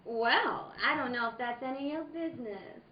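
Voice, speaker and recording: Young femme fatale played by a woman, coy and scheming, high lively voice, radio detective play, echoey room. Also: normal speed, lightly acted. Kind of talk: live reading of old-time radio detective drama. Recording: roomy, slightly noisy, dull recording.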